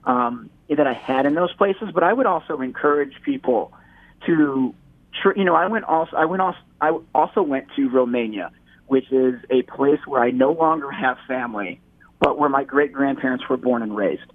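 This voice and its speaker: A man's voice: medium pitch, normal speed, no room sound. A man from the Detroit area, a guest chatting casually in an interview, rambling with many restarts and repeated words. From Metro Detroit. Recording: tinny phone line.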